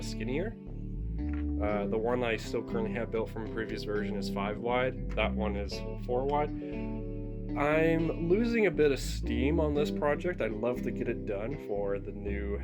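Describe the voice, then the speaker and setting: A young man talks in a stuffy, congested voice, rambling through a casual project update and stopping to correct himself. His pitch sits in an ordinary middle range. Clear guitar music plays underneath him. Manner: rambling, self-correcting, apologetic. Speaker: LEGO builder vlogger.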